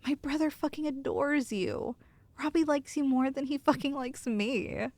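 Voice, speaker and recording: A woman acts out a loving girlfriend in an intimate ASMR roleplay. Her voice sits a little high with a noticeable creak, recorded dry and close. She teases playfully and reassures her nervous partner tenderly. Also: moderate pace, darkish tone, no room sound. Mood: tender reassuring.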